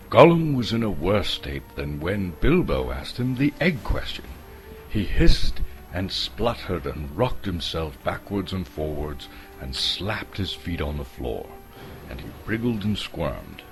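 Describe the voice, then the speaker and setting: Canadian guy narrating a story, low voice, slow and really dramatic, bit of music behind.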